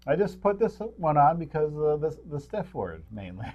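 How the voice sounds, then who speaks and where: A man's voice: fairly high pitch, big pitch swings, normal speed, narrow, tinny audio. A man co-hosting a movie review show, chatting casually in a rambling, jokey way. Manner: rambling, jokey, chuckles at own remarks. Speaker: co-host of a local film review show. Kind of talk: casual unscripted chat about movies.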